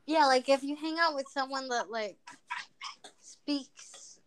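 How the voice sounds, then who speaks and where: Woman co-host with a Venezuelan Spanish accent, native Spanish speaker, slightly high voice, rambling story-driven chat. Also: slow. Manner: chatty storyteller, lots of fillers. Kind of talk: casual chat.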